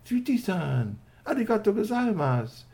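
A man's voice: high-pitched, sing-song, measured pace, vocal fry, warm tone, voice pushed up, heavily acted. A small toy robot from a children's story, acted out by a man. The voice is lifted above a grown man's talk, polite and full of reverent gratitude. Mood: grateful, awed.

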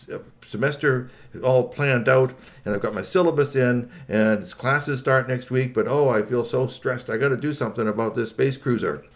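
A man's voice: mid-range voice, moderate pace, natural voice. A man voicing a stressed, easily frustrated professor and father in a children's story.

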